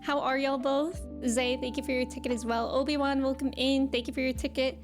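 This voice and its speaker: A woman's voice: high-pitched, little pitch movement, fairly quick, faint music behind. A woman hosting a livestream, chatting casually and informally as she greets her viewers, with a giggly manner. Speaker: live game streamer hosting.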